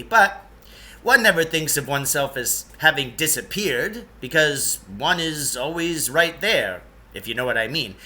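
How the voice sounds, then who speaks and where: A man's voice: a little high, sharp tone, full character voice. A man voicing an eccentric, brusque and impatient grown man in a children's novel, speaking emphatically and dismissively. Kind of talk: children's novel read-aloud.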